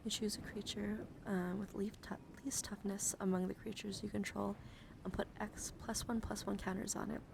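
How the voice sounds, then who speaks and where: A woman streamer speaking softly and playfully in an ASMR style, close to the microphone, her breathy voice often dropping into a whisper. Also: medium pitch, flat delivery, moderate pace. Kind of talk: soft-spoken ASMR card showcase. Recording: clear background noise.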